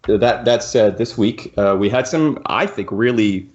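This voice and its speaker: A man's voice: lowish voice, expressive, a little slow, darkish tone. A man chatting casually as the co-host of a podcast. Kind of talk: casual chat. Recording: slightly dull, lo-fi audio.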